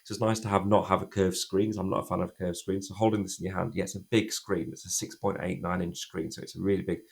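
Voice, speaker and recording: A man with a cold, croaky and chatty, reviewing a phone in a rambling, casual way. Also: deep voice, little pitch movement, quick pace. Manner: chatty, rambling, restarts sentences often. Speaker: tech reviewer.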